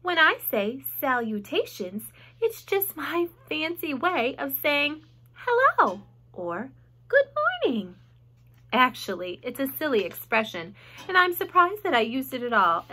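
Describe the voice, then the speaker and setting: A woman voicing a kindly, self-assured female spider in a storybook, measured and gracious. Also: sits high, sing-song, pushed and bright, heavily acted. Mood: gracious, composed. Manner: measured, gracious, matter-of-fact.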